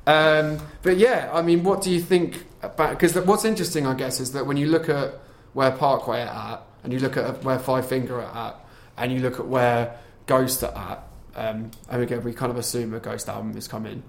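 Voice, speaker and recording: A man's voice: medium pitch, fairly even intonation, normal speed, gravelly, few pauses. A man hosting a casual podcast chat. He swears freely and laughs a lot as he asks the others questions. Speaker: podcast host. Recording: roomy echo.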